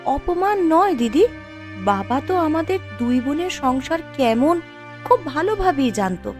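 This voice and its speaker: A woman's voice: high-pitched, very animated, unhurried, soft background music. A woman voicing a proud, outspoken poor young wife in a moral-tale cartoon, with emphatic, dramatic delivery. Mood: indignant.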